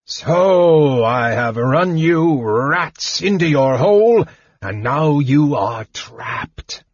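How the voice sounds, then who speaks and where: A man voicing a huge, cruel dragon in a fantasy audiobook, mocking his prey in a booming, taunting delivery. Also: animated, extremely slow, breathy edge, no room sound, lo-fi sound, exaggerated voice. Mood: gloating threat. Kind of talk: dramatized audiobook fantasy narration.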